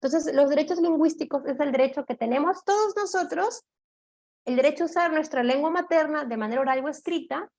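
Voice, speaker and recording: Woman teaching a law class online, high voice, lots of eh's and "right?". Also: brisk, darkish tone. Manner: explanatory, checks in with "¿de acuerdo?", frequent "eh" fillers. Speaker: law course lecturer. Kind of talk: online lecture.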